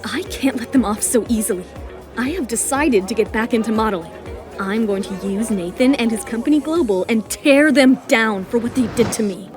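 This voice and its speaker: Headstrong young model, voiced by a woman, high-pitched and determined, romantic audio drama over a music bed. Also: moderate pace, natural voice. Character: headstrong young female model bent on revenge.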